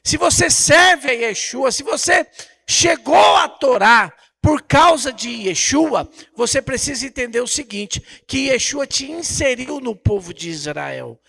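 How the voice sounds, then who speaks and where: A man's voice: very high voice, lively intonation, unhurried, creaky, pushed and bright, husky. A Brazilian man preaching a Bible lesson in an emphatic, didactic way, repeating phrases and posing rhetorical questions. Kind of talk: Bible teaching sermon.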